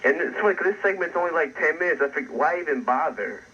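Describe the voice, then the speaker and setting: A man's voice: fairly high pitch, expressive, fast talker, bright, forceful tone, breathy edge. A man calling in to a radio talk show, talking in a hesitant, chatty way. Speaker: caller. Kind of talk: phone call to a radio show. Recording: dull phone line on radio.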